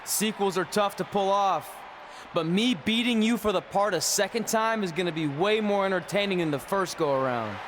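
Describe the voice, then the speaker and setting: A man's voice: high voice, normal speed, lightly acted. A man playing a cocky yet insecure underdog wrestler in a video game, talking in a casual, wisecracking way over background noise. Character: cocky but insecure young male wrestler, the underdog hero.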